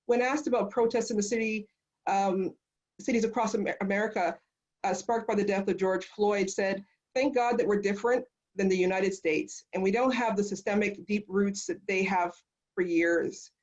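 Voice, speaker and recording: A woman's voice: medium pitch, moderate pace, a little mellow. A woman moderating a panel discussion in a conversational way over a video call. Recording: muffled video call audio.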